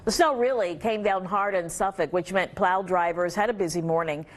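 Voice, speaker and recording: A female TV news anchor with a slightly low voice. She delivers scripted local news in an anchoring style. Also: moderate pace, noisy background.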